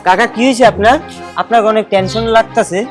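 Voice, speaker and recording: A man's voice: high voice, quick pace, close to an everyday voice. A man with a Bangladeshi Bengali accent, acting an earnest, anxious young man in an amateur short drama, speaking colloquially and urgently. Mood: concerned, reassuring. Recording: background music over dialogue.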